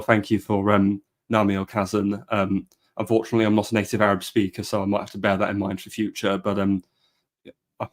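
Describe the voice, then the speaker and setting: A man hosting a live history discussion, speaking with frequent hesitations and restarts. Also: on the low side. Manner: hesitant, many fillers and restarts. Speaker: podcast host. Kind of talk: casual live-stream discussion.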